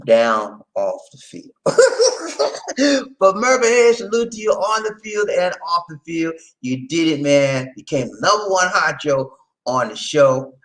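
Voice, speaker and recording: A man with a Lowcountry Geechee accent hosts a livestream in a loose, chatty way. His pitch swings widely as he preaches and jokes with viewers. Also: a little slow, low-quality recording. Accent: Gullah Geechee, South Carolina Lowcountry. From Charleston, South Carolina. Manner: lively, preachy, laughs often, talks to viewers as y'all. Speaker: livestream host. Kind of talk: casual livestream chat.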